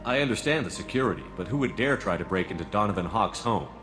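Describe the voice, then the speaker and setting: A man's voice: lowish voice, expressive, fast, a bit bright. An actor playing a terse, level-headed male soldier hero in a video game. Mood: probing. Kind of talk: scripted game dialogue. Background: faint background music.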